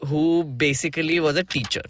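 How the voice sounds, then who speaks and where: A man's voice: fairly high pitch, steady tone, brisk, fairly bright tone, slight background noise. A man with an Indian accent co-hosting a podcast, chatting casually and narrating a comic.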